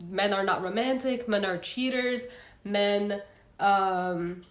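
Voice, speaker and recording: A chatty young woman from Egypt hosts a casual self-help livestream in a room with a noticeable echo. Her pitch sits at an ordinary middle level, and her talk is loose and conversational, full of fillers and restarts. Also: slow pace. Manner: chatty, reads out viewer comments, frequent fillers. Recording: roomy echo.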